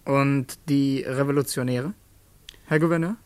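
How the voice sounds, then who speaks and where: A man acting in a German radio play as a polite, guarded young secretary, earnest and restrained, quietly challenging. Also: medium pitch, fairly even intonation, unhurried, smooth, dry recording, natural voice. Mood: earnest, probing. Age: young adult.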